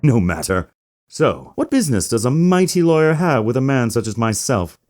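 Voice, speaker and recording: A man's voice: mid-range voice, very animated, moderate pace, a little mellow, natural voice. A man voicing a smug, flamboyantly arrogant company president in a theatrical video game fan dub, with a pompous, grandiose way of talking. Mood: smug, boastful. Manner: pompous, grandiose, mangles big invented words.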